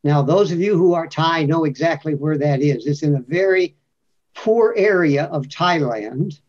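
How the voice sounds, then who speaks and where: A man speaking as a panelist in a webinar, telling a story slowly and deliberately, often repeating his points. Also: a little high. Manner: slow, deliberate storytelling with repetition. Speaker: panelist, reading scholar. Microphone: video call. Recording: muffled lo-fi webinar audio.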